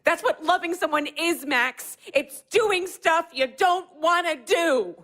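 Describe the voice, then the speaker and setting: High-voiced, insistent, pleading young wife. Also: female voice, takes it slowly, fairly bright tone, full character voice. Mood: pleading, exasperated. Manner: emphatic, profane, insistent. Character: Susanna, distressed, pleading, sharp-tongued young wife. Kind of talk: audio drama acting.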